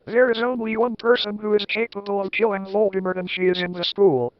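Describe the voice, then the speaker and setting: A man's voice: high-pitched, fairly even intonation, a little fast, no echo, highs cut off, lightly acted. A man voicing an old, stern male wizard headmaster with a solemn, declaring delivery.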